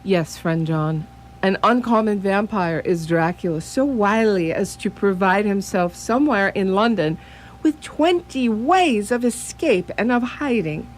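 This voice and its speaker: A woman playing a wise, grave old male professor who hunts vampires, speaking formally and deliberately in a radio drama. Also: mid-range voice, lively intonation, slight background noise, natural voice, rough recording. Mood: cautionary, solemn.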